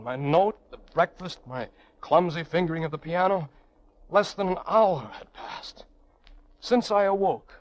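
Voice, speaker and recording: An actor playing a proud, intense young prisoner in an old-time radio drama, speaking in earnest, reflective phrases. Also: male voice, a little high. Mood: creeping dread. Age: young adult. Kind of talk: old-time radio drama acting, first-person narration.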